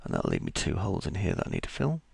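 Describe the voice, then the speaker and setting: A man with a croaky voice, casually talking through each step of a 3D modeling tutorial as he works. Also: extremely low pitch, huge pitch swings, very fast, darkish tone, dry recording. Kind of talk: screen-recorded software tutorial.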